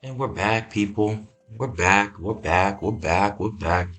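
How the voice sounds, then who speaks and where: Drowsy deep-voiced man, scratchy and rambling. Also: measured pace, music in the background. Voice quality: scratchy morning voice. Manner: rambling, easygoing, many fillers and restarts. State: just woken up, drowsy. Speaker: let's-play host. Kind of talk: laid-back gameplay podcast chat.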